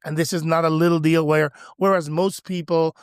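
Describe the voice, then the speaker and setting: Enthusiastic Jamaica-raised man, higher voice. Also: fairly quick, clear, resonant tone, no echo, studio quality. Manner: enthusiastic, animated storyteller. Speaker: pastor, guest. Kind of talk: podcast interview conversation.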